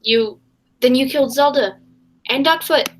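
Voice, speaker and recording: A woman's voice: high-pitched, unhurried, poor audio. A woman playing an earnest heroine, a detective's sweetheart in peril, in a 1940s style radio play. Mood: horrified, defiant.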